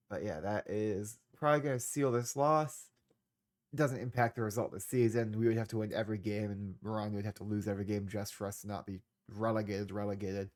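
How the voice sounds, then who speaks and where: A man's voice: fairly low pitch, darkish tone. A young man with a congested voice, casually narrating a football management game he is streaming, with laid-back, trailing asides. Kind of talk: casual gameplay commentary.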